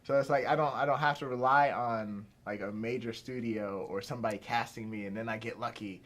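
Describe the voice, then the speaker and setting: A man's voice: mid-range voice, fairly even intonation, a little fast, fairly bright tone. Rambling young man from Pittsburgh. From Pittsburgh, USA. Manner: rambling, many fillers and restarts. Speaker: filmmaker guest. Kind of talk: casual interview chat.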